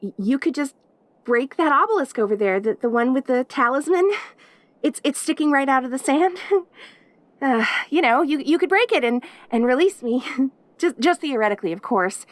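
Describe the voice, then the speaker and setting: A woman's voice: high voice, animated, moderate pace, dry recording. A woman voicing a perky, lonely caged demon girl in an intimate audio roleplay, with an eager, rambling, stammering manner. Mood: coaxing, hopeful. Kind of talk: audio roleplay acting, addressing the listener intimately.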